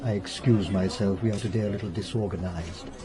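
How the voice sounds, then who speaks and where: A man's voice: sits low, fairly even intonation, fairly quick, natural voice. An actor playing a formal, discreet, obsequious male head waiter in a British radio drama. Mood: deferential. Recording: busy restaurant crowd behind voice.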